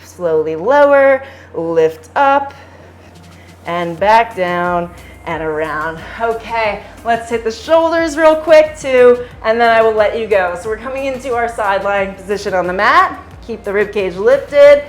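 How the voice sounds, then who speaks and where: A woman fitness instructor giving calm step-by-step exercise cues, her voice slightly hoarse from singing at a concert. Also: on the high side, measured pace, a little hiss or hum. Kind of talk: guided workout cueing.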